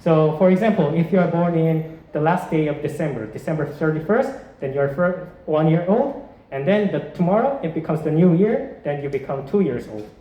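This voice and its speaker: A man's voice: a little high, lively intonation, normal speed. A man in his twenties from South Korea, giving a chatty classroom presentation and running a quiz, with frequent fillers and restarts. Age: 20s. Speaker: exchange student presenting a quiz. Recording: roomy, slightly muffled classroom audio.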